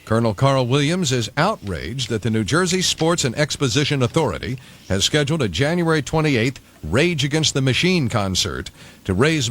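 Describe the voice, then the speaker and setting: A man anchoring local news on the radio, reading from a script in a studio. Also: medium pitch.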